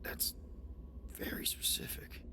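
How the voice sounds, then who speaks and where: A man's voice: slow, in a whisper, close to an everyday voice. A man playing a laid-back, exasperated space team leader in a video game, speaking casually and wearily. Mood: weary, coaxing. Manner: casual, weary. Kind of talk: naturalistic video game acting.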